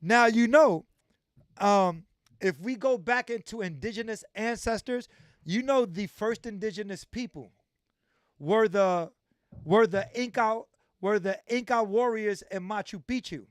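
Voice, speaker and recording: High voiced host guy, hammers his points by repeating himself, dry close sound. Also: unhurried, clear, resonant tone, dry recording. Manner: emphatic, repeats phrases for stress. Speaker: livestream host. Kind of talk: casual interview on a livestream.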